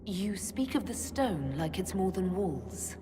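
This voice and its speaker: An actress playing the composed, inquisitive leader of a fantasy order in a video game, direct and authoritative. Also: female voice, lowish voice, sing-song, slow, noisy background. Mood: thoughtful. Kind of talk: video game cutscene acting. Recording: long cavernous reverb, music underneath.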